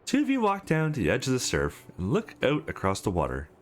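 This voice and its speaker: A man's voice: medium pitch, huge pitch swings, brisk. A man hosting a let's play, reading visual novel narration aloud in a performed manner. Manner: acts out dialogue, stumbles over read text.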